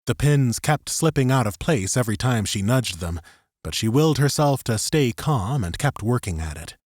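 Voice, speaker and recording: A man's voice: mid-range voice, expressive, moderate pace, a little mellow, no echo, studio quality. A man narrating a scripted third-person story as an audiobook narrator.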